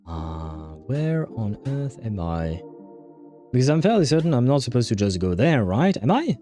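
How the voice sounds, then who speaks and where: A man's voice: medium pitch, very animated, moderate pace, warm tone, faint music behind. A man giving casual livestream commentary as he plays a game, muttering to himself and swearing now and then. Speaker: livestream host.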